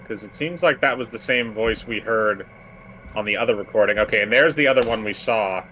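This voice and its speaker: A man's voice: fairly low pitch, fairly quick, pushed and bright, slight background noise, rough recording. A man giving casual gameplay commentary, thinking aloud and speculating as he goes. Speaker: gamer.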